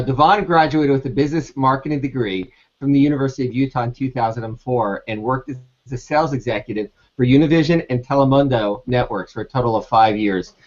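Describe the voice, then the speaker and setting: A man co-hosting an online hangout, reading a guest introduction in a relaxed, steady way. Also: medium pitch. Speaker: co-host. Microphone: video call. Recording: muffled lo-fi video call audio.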